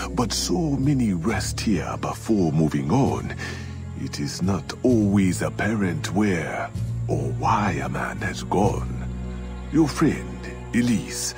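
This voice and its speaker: An actor playing a grave, watchful older male mentor in a video game, speaking in a solemn, deliberate way. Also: low voice, full character voice. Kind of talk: scripted video game acting.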